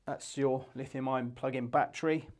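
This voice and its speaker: A man's voice: medium pitch, steady tone, normal speed, darkish tone. A man with a mid-range voice presents products as a shop demonstrator would. His delivery is unscripted and explanatory. He keeps a practical, matter-of-fact manner as he goes through features.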